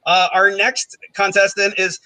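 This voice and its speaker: A young man hosting a live comedy game show, riffing in quick, self-mocking banter full of fillers. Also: high-pitched, level tone, bright, forceful tone, no room sound.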